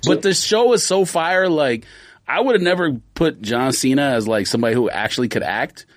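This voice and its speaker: A man's voice: mid-range voice, brisk, dry recording. A man giving emphatic, slangy opinions in casual podcast chat, with some swearing.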